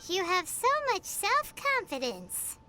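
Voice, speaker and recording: A woman voicing a tiny, perky, cheeky childlike sidekick in a video game, with a squeaky voice. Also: huge pitch swings, slow, slight background noise, exaggerated voice. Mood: dry. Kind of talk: animated video game voice acting. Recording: loud game music underneath. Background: loud game music.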